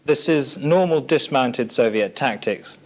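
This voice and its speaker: A man's voice: medium pitch, unhurried. A British man narrating a military training film in a formal, matter-of-fact, scripted instructional manner. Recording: 1980s archive training film soundtrack.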